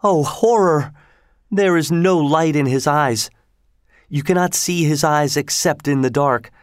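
A man's voice: fairly high pitch, unhurried, clean tone, dry recording, clean studio sound, voice pushed up, close to an everyday voice. A man voicing a frightened, earnest young woman opera singer in a dramatic storybook reading. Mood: anguished, pitying.

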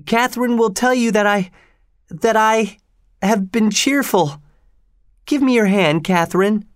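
Man putting on a high, shaky, sickly young man. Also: a little slow, no room sound, studio quality. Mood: weak, pleading. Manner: frantic, faltering pleading. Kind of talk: audiobook character voice.

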